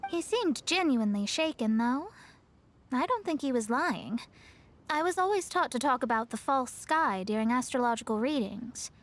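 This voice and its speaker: A woman's voice: high-pitched, lively intonation, normal speed. A woman playing a proud, articulate young female astrologer in a video game, self-assured and slightly haughty. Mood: serious, reflective. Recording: music playing behind.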